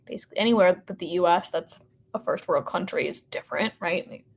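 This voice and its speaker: A woman's voice: lowish voice, fairly quick, a little hiss or hum. An American woman in a casual interview conversation, speaking informally and hedging with lots of "like" and "sort of". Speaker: podcast guest, company representative.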